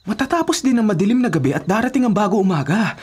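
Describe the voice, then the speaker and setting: Woman voicing an animated, smooth-talking Filipino suitor. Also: medium pitch, fast talker. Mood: earnest, encouraging.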